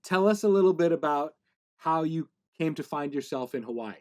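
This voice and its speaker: A man's voice: on the high side, expressive, a little fast. A man hosting a podcast interview in a casual style with dry joking.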